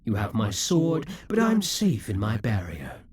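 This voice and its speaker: A man's voice: on the low side, huge pitch swings, a little slow, darkish tone, breathy edge, exaggerated voice. A man voicing a possessive, scheming male demon king in a theatrical monologue for an audio roleplay. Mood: exasperated. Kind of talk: audio roleplay addressing the listener.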